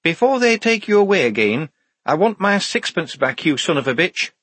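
A young woman soldier in a storybook, acted out by a male narrator, pitched very high and a little husky. She is timid by nature, halting, yet defiant and firm. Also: sing-song, fairly bright tone, dry recording, heavily acted. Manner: hesitant, halting, firm in refusal.

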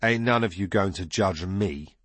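A man voicing a beaten bully boy in an audiobook story, defiant, with rough, unschooled speech. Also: low voice, unhurried, a bit bright, dry recording. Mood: sneering. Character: battered, defiant bully boy, sneering then desperate.

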